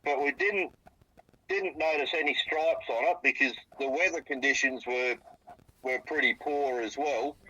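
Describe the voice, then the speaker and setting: An Australian man from South Australia, speaking over a phone on speaker and describing what he saw in a halting way, often repeating his words. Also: mid-range voice, sharp tone, slightly rough, muffled recording. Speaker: interviewee, eyewitness. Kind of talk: phone interview.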